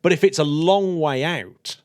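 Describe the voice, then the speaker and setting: A man's voice: a little high, measured pace, dry recording. Curious man hosting, dry sound. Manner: curious, thinks aloud, repeats words. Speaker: podcast host. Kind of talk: casual science chat.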